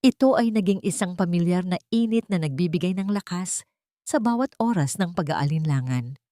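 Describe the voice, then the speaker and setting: A woman's voice: lowish voice, animated, normal speed, warm tone, no room sound, studio quality. A woman with a Filipino accent narrating a dramatic story from a script. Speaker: audiobook narrator.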